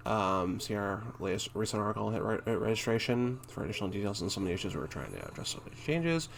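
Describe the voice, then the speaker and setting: Halting man doing casual gaming commentary, noisy recording. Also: lowish voice, creaky. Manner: halting, hesitant, thinking aloud. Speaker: gaming content creator and streamer. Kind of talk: casual commentary reading game patch notes aloud.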